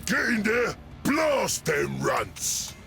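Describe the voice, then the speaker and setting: A man playing a gruff, bossy ork squadron leader in a video game, with a growling, gravelly Cockney English voice. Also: sits high, sing-song, drawn-out words, pushed and bright, shouting, soft background music, full character voice. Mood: rousing, aggressive. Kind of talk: video game character acting.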